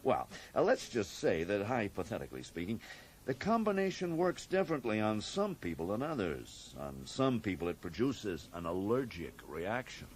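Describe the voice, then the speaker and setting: An actor playing an evasive, jokey American government agent in a radio drama, hinting at secrets with sly, hedging emphasis. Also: male voice, lowish voice, wildly swooping pitch, moderate pace. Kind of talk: 1980s radio drama acting. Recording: noisy old radio broadcast recording.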